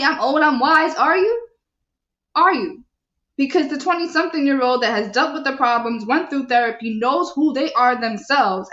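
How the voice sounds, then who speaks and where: A young adult woman hosting a live show, reading viewer comments and asking her listeners questions in an animated, preachy way. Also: on the high side. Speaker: show host. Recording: echoey, dull lo-fi sound.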